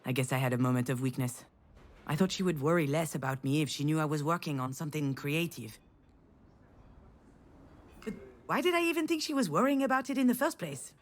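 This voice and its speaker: A woman's voice: low-pitched, expressive, brisk. A woman playing a guarded, wry young failed screenwriter in a visual novel, with a dry, sardonic, confiding manner. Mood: sardonic musing. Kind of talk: visual novel voice acting with inner monologue.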